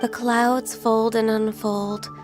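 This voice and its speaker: A woman voicing a gentle, melancholy half-immortal secretary in a video game, speaking softly, politely and formally. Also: a little high, little pitch movement, slow pace, over loud music. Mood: wistful, hurt. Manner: soft-spoken, polite, formal, wistful. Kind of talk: video game character dialogue.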